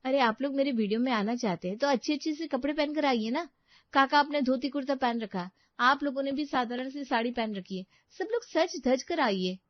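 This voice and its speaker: A woman plays a young newlywed vlogger in a cartoon tale, eager and full of herself. Her voice sits high and pushes insistently. The track is dry and slightly muffled. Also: a little fast, no echo, close to an everyday voice. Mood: disdainful. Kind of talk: animated moral-story dialogue.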